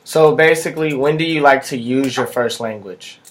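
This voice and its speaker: A young man, a student co-interviewer, speaking in a relaxed, offhand way. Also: mid-range voice, moderate pace, slight background noise. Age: young adult.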